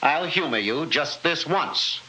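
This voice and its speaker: An actor playing a cold, arrogant middle-aged scientist husband in a 1960s radio horror play, with an imperious, scornful manner. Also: male voice, fairly high pitch, animated, unhurried, sharp tone, heavily acted. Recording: noisy old radio recording.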